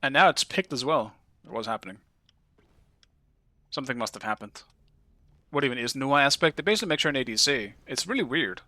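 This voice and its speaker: Danish man, game streamer, bright forceful tone, casual and curious, dry close sound. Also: medium pitch, lively intonation, brisk, pauses often, dry recording. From Denmark. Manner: chatty, curious, swears casually, talks to chat. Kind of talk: casual live-stream chat while playing.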